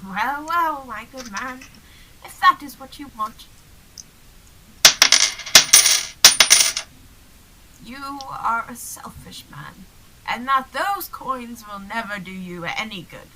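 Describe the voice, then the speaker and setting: A teenage actress playing a poor, stubborn old woman in a high school radio play, declaiming insistently and theatrically. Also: expressive, unhurried, pushed and bright, slight background noise, heavily acted. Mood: bitter, menacing.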